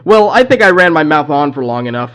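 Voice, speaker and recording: A man's voice: fairly high pitch, lively intonation, fast talker, a bit bright. A man playing an awkward, chatty company phone caller briefing a night guard in a horror game, rambling hesitantly. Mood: friendly, awkward. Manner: hesitant, rambling, full of ums. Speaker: phone guide character in fan horror game. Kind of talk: rambling phone-message monologue. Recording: muffled lo-fi phone-message audio.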